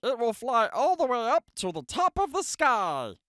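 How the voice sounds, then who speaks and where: Frog, a man putting on a bright, lively frog voice. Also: very high voice, normal speed, dry recording, studio quality, voice pushed up, exaggerated voice. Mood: encouraging. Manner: encouraging, storytelling. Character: Frog, cheerful patient grown male frog. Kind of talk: storybook reading.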